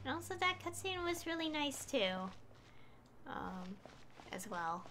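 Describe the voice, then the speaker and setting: A woman's voice: high voice, big pitch swings, measured pace, sharp tone. A woman streaming a game, giving casual commentary in a hesitant, rambling way that often trails off. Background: game music.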